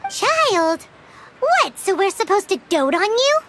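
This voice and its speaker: A woman voicing a small, childlike fairy companion in a game, squeaky, excitable and blunt. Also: slow pace, heavy background noise, exaggerated voice. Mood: indignant. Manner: speaks of herself by name, indignant exclamations. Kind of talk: animated game voice acting.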